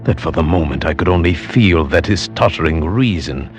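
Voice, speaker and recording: Deep, solemn gothic gentleman narrator. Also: expressive, dull audio. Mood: solemn foreboding. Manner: solemn, measured, portentous. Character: grave, anxious gentleman friend recounting gothic horror. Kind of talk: classic radio drama narration and acting. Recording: vintage radio drama with music underneath. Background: music.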